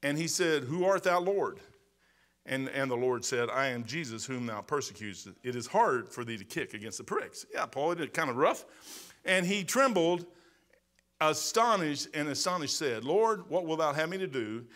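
A man's voice: a little high, animated, moderate pace, a bit bright. A middle-aged man preaching a sermon in a church sanctuary, talking in an emphatic, conversational way with repeats and restarts. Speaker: pastor. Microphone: pulpit microphone, far camera.

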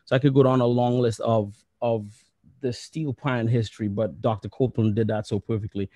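A man in his thirties from Trinidad, speaking on a video call with earphones, earnest and halting with repeated words. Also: mid-range voice, steady tone, warm tone, dry recording. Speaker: musician and associate professor. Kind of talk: panel talk.

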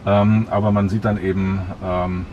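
A middle-aged man in a parked car, explaining features slowly and hesitantly with many fillers. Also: low voice, level tone, highs cut off, background noise. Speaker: car owner demonstrating his car. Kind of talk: casual explaining.

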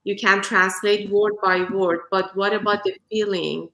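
A woman's voice: medium pitch, steady tone, sharp tone. Woman, native Farsi speaker, roomy call. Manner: measured, with frequent "uh" and "um". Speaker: panel artist. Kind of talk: thoughtful panel answer. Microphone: video call. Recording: roomy video call audio.

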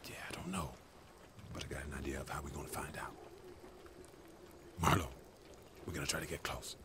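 An actor playing a gruff, commanding squad sergeant giving terse orders in a video game. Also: male voice, very deep voice, fairly even intonation, fairly quick, very noisy. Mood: hushed, decisive. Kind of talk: video game dialogue acting.

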